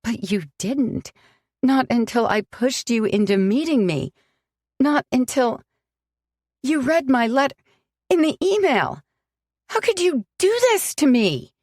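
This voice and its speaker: Audiobook figure: woman reading a hurt young woman, sharp-edged, dry close sound. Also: sits high, animated, slow, pauses often, no room sound, voice pushed up, full character voice. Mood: upset.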